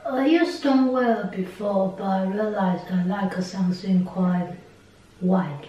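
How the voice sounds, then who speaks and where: Slow-speaking Taiwanese-accented woman, very echoey. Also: mid-range voice, warm tone. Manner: halting, simple phrasing in non-native English. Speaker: interviewee, ceramic artist. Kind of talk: casual interview answers. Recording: echoey room, distant mic, faint noise.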